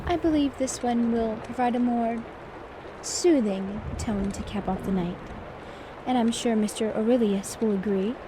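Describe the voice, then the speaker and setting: A woman plays a poised and exacting maid in an ASMR roleplay. Her soft voice sits a little high, and her delivery is formal, measured and prim. Ambient noise is clearly audible behind her. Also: close to an everyday voice. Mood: serene, tender. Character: poised, particular, competitive young maid servant. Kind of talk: soft-spoken ASMR roleplay with poetry reading.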